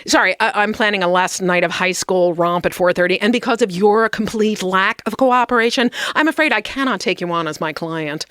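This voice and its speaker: An actress playing a brisk, businesslike wedding planner in a comedy sketch. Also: female voice, medium pitch, a bit bright, close to an everyday voice. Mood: curt, dismissive.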